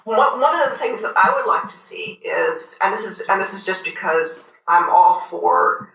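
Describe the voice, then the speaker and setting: A woman in casual TV review chat, speaking deliberately and emphatically, repeating phrases for effect. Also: fairly low pitch, animated, vocal fry, a bit bright. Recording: echoey, roomy sound.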